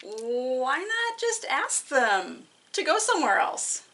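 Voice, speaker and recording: Woman playing an animated, bubbly young cartoon pig. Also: high-pitched, heavily acted. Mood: cheerfully matter-of-fact. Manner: exclamatory, drawn-out, singsong delivery. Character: bubbly excitable young pig, cartoon picture-book friend. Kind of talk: animated children's read-aloud.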